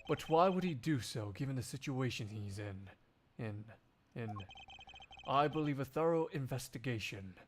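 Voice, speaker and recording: A man voicing a cool, stern investigator in a measured, formal way for a game fandub. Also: medium pitch, expressive, background noise, lightly acted. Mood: pensive. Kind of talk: video game fandub acting.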